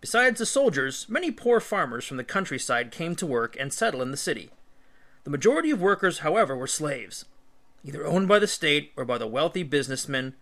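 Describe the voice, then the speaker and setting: A man's voice: fairly high pitch, big pitch swings, brisk, fairly bright tone. A male teacher reading an illustrated history book aloud, with emphatic, instructional phrasing.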